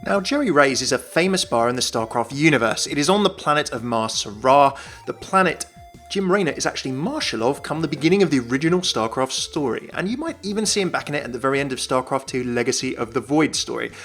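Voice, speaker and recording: A man's voice: medium pitch, lively intonation, fast talker, a bit bright, soft background music. A man narrating a scripted video game explainer as a YouTube host, enthusiastic and explanatory.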